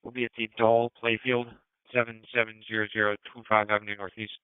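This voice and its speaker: A male police dispatcher speaks over a tinny, narrowband radio channel. His delivery is very flat and quick, clipped and procedural as he relays reports. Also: on the low side, smooth, dry recording. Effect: police radio transmission.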